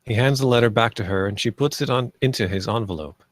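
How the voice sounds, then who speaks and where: A man giving scripted narration, reading screenplay stage directions in a plain, measured manner. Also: mid-range voice, no room sound, clean studio sound.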